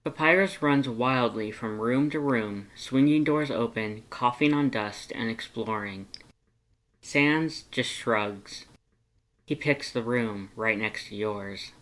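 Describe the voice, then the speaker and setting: A narrator reading fan fiction aloud in an expressive storytelling style. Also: medium pitch, takes it slowly, poor audio.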